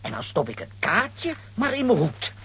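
Husky, blustering comic bumbler. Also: male voice, high-pitched, wildly swooping pitch, normal speed, a bit bright, exaggerated voice. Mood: cocky, flustered. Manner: blustering, muddled. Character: bumbling, boastful, good-natured comic man. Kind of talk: children's audio drama acting.

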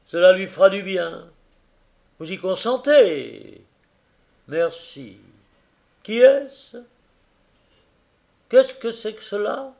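A man's voice: high voice, lively intonation, gravelly, darkish tone, pitched above the performer's own voice, full character voice. A man putting on a sly, sneering toy merchant. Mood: smug. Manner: quick, mocking, brusque. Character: sly, sardonic middle-aged male toy merchant.

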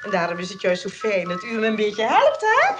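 A woman's voice: medium pitch, wildly swooping pitch, a little fast, loud music behind the voice. An actress playing a chatty, grasping shopkeeper in a Dutch radio play, coy and wheedling as she feigns kindness. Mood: falsely generous. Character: chatty, grasping female souvenir shopkeeper, feigning kind-heartedness.

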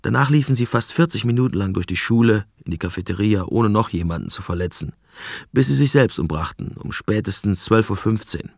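A man's voice: lowish voice, animated, a little fast, gravelly, husky, dry recording, clean studio sound, exaggerated voice. A man voicing an official's factual account as a sober German documentary voice-over. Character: male official soberly recounting an investigation.

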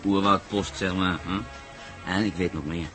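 A man's voice: low voice, steady tone, moderate pace, music in the background, lightly acted. A man acting in a Dutch radio play as a cagey, wry pilot who dodges questions. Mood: wry, guarded. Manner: evasive, wry. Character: cagey, sly male South American pilot, evasive and wry.

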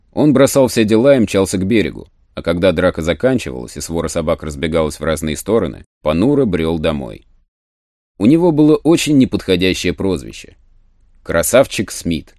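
A man narrating an adventure audiobook in a measured, literary storytelling manner. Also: sits low, darkish tone, no room sound, clean studio sound.

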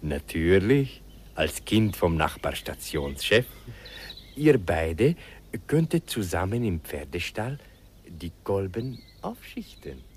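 An actor playing a kindly old country doctor in a radio play, patiently explaining things. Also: male voice, low-pitched, sing-song, takes it slowly, a little mellow, a little hiss or hum, heavily acted. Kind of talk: 1950s radio play acting.

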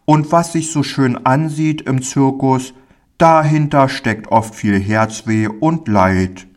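A man's voice: medium pitch, natural voice. A man voicing a kindly, fatherly village man in a storybook, speaking in a soothing, earnest, folksy way.

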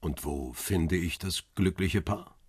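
A man's voice: low-pitched, slow pace, a little mellow, slightly rough, dry recording, studio quality, exaggerated voice. An actor playing a world-weary middle-aged photographer, telling his own story soberly and laconically in a radio crime drama. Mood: dry, laconic. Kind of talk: first-person radio crime drama narration.

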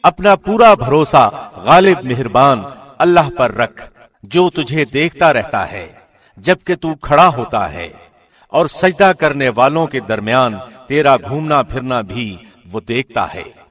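A man's voice: medium pitch, lively intonation, a bit bright, long reverb tail. A man reading a scripture translation from a script in a formal radio presenter's style.

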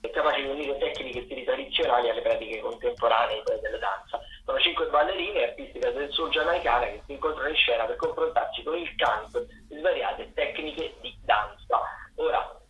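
Halting, bright-voiced man in echoey sound. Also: medium pitch, highs cut off. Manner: halting, hesitant, searching for words. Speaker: radio theatre correspondent. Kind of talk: spoken listings of theatre and dance events. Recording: roomy echo, faint background noise.

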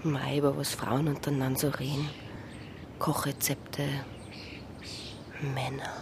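A woman's voice: rumbling low, level tone, a little slow, noisy background. An actress playing a wry, unflappable policewoman with a Bavarian accent in a radio crime drama, speaking colloquially. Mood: coolly evasive. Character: female Bavarian police officer, dry, quick-witted, unflappable. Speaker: police officer.

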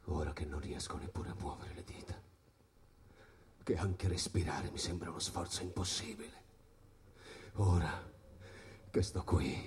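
An actor as a low-voiced, immobile dying man. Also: a little hiss or hum, natural voice. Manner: laboured, building from near whisper to intensity. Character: huge, paralysed, dying jazz musician, mind still racing. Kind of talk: theatrical monologue in an Italian radio play. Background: instrumental jazz.

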